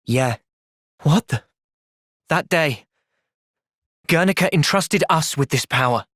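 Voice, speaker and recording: Young male game hero, steadfast, compassionate, wide-ranging pitch, very dry close sound. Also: expressive, slow pace, fairly bright tone, dry recording, exaggerated voice. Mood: calm, earnest. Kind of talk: video game cutscene voice acting.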